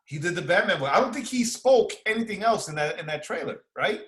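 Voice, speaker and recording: A man, chatting casually and excitably as a podcast co-host, using slang and repeating phrases for emphasis. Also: high-pitched, brisk. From Far Rockaway, New York, United States. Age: 40s. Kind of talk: casual fan chat, reviewing and reacting.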